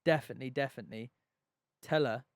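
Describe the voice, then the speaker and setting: A man's voice: medium pitch, fast talker, smooth, no room sound, clean studio sound. A man co-hosting an advice podcast, laid-back and playful. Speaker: podcast co-host. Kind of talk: casual advice chat.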